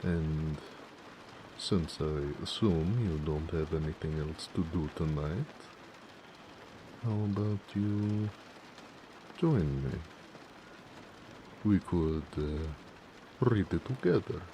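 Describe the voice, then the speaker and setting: A man voicing a gentle, bashful orc blacksmith who speaks hesitantly and affectionately in an intimate romance audio roleplay. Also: extremely low pitch, noisy background, exaggerated voice. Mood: inviting. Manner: soft-hearted, hesitant, with pauses and hedging.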